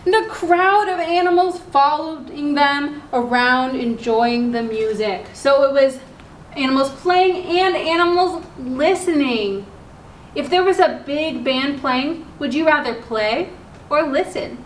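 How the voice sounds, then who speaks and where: High-pitched woman reading to young children. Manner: simple, instructive, pausing to ask the listeners questions. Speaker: children's story time host at a library. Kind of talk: read-aloud storytelling for young children with questions. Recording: roomy echo, clear background noise.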